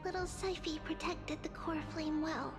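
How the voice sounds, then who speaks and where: A woman playing a gentle, serene little girl seer in a video game, speaking in a composed, caring way. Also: very high-pitched, fairly even intonation, moderate pace, over music. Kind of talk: scripted video game acting.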